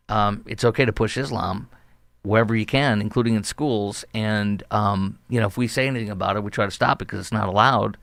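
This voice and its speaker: A man's voice: fairly low pitch, a bit bright, no room sound. A man hosting a political talk show, giving emphatic, indignant, rambling commentary.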